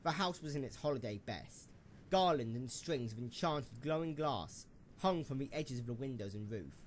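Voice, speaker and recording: Male narrator, mid-pitched, very expressive melody, dramatic scripted storytelling. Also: moderate pace, slight background noise.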